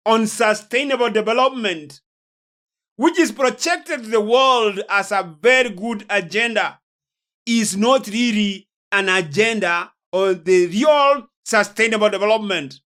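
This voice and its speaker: A male preacher with a Kenyan accent speaks in a sermon-like lecture. His delivery is slow and deliberate. He keeps a solemn manner and puts emphatic stress on certain words. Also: very high voice, bright, forceful tone.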